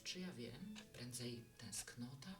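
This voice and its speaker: A woman's voice: very deep voice, animated, slow pace, distant mic, music in the background, exaggerated voice. A woman playing a hospitable, reflective bar keeper in a Polish audio drama, talking in a conversational, musing way.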